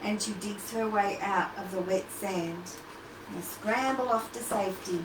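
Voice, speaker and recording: A woman's voice: mid-range voice, unhurried. A woman hosting storytime, reading a picture book to young children with questions and asides to the listeners. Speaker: children's storytime host and teacher. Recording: roomy, distant, noisy livestream audio.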